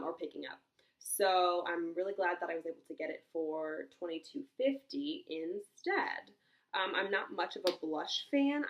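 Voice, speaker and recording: A young woman with a congested, stuffy voice, chatting casually as she swatches and reviews makeup, thinking aloud with many ums and small exclamations. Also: fairly low pitch. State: congested from a cold. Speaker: beauty vlogger.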